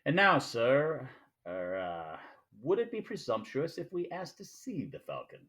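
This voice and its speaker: An actor playing a courtly, verbose, calculating crime boss in a radio play, with a pompous, formal and elaborately polite manner. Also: male voice, medium pitch, huge pitch swings, measured pace, fairly bright tone. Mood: excited. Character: courtly, verbose, calculating male criminal mastermind. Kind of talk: 1940s-style radio play acting.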